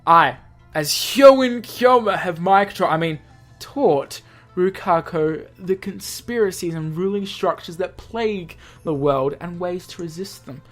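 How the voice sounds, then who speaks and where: A woman's voice: on the low side, a little slow. A woman voicing a pompous, bossy young man who plays the grandiose mentor in a visual novel. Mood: proud. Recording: music behind the voice.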